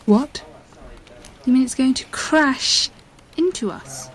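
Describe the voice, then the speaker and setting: A woman playing a clear-headed young space traveller who asks short, direct questions in a sci-fi audio drama. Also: high-pitched, slow pace, a little hiss or hum. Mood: curious.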